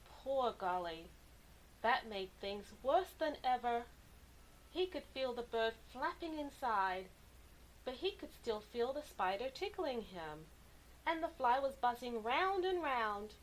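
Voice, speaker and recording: A woman reading a children's story aloud with animated, deliberate, dramatic expression. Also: on the high side, a little hiss or hum, low-quality recording. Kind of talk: expressive picture-book narration for kids.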